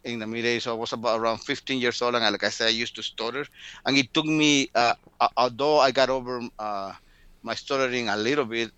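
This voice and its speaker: Guatemalan-accented man, bright tone, mild stutter, dry close sound; a storyteller talking to an interviewer from afar. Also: mid-range voice, smooth, dry recording, highs cut off. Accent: Guatemalan Spanish. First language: Spanish. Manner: mild stutter, repeats words. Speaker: guest storyteller. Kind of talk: casual interview talk. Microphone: remote call.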